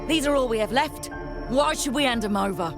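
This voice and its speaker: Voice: medium pitch, a little fast, close to an everyday voice. A performer playing a defiant, aggrieved commoner in a video game, indignant and plain-spoken. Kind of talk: scripted game voice acting. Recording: clear music behind the voice.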